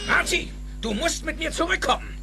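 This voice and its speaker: An actor playing an excitable older male inventor in a film audio drama, theatrical and exclaiming. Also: very high voice, brisk, exaggerated voice. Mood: urgent. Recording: music under dialogue.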